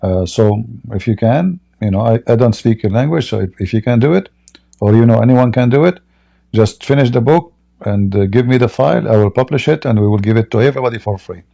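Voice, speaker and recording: Gravelly, mellow-toned debate host. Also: male voice, medium pitch, animated, a little fast. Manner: direct, emphatic. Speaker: host of a live debate stream. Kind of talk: live-stream call-in reply.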